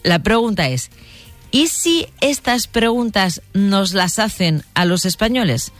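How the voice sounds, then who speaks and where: A woman's voice: medium pitch, lively intonation, measured pace, soft background music. A woman hosting a late-night radio talk show from a studio, speaking conversationally and addressing listeners directly. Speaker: radio host.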